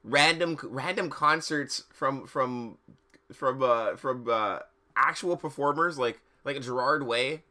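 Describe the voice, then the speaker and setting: A man live streaming, switching between dramatic reading and casual, slangy commentary, often halting, repeating words and sounding exasperated. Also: mid-range voice, sharp tone.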